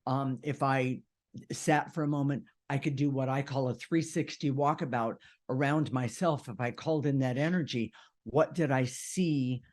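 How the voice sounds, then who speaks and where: Deep-voiced man chatting earnestly. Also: steady tone, normal speed, a little mellow. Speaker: show co-host. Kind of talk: casual unscripted discussion.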